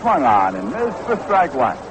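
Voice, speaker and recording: An American man calling baseball play-by-play in a measured, descriptive 1960s radio style. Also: mid-range voice, wildly swooping pitch. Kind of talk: 1960s radio sports commentary. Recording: noisy vintage 1960s AM radio broadcast.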